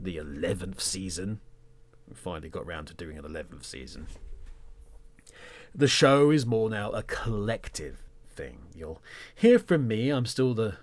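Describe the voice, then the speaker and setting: A man hosting a podcast, talking reflectively in a measured, conversational way, in short phrases. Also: lowish voice, big pitch swings. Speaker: podcast host and presenter.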